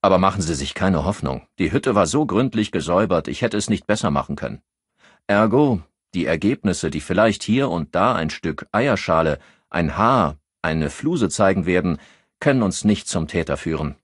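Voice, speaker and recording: Man acting a blunt forensic investigator, husky and low. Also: lively intonation, moderate pace, no echo, studio quality. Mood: blunt, resigned. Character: blunt, matter-of-fact forensic investigator giving sober reports. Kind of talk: audiobook character dialogue.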